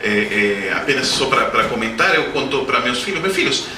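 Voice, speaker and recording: A man's voice: medium pitch, lively intonation, moderate pace, bright, forceful tone. A man in his forties, a native Spanish speaker with a Spanish-speaking accent, giving a humorous spiritist lecture full of anecdotes and questions to the audience. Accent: Spanish-speaking accent in Portuguese. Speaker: guest speaker at a spiritist center. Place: lecture hall. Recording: roomy hall, distant mic, background noise.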